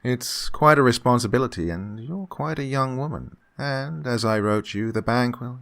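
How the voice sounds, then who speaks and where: A man playing an unctuous, creaky-voiced scheming gentleman. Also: medium pitch, normal speed. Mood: probing, pompous. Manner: fussy, hesitant, repeats himself, chuckling. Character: unctuous, scheming gentleman employer feigning kindly absent-mindedness. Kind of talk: old-time radio horror drama acting.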